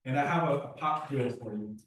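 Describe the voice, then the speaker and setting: A man speaks at a community board meeting, earnest and quick, often restarting his sentences. His voice sits at an ordinary pitch. It sounds distant and echoey, picked up by a room mic across a large meeting hall. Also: fairly bright tone, a little hiss or hum. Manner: earnest, talks fast with restarts. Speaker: youth program director. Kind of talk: public meeting testimony.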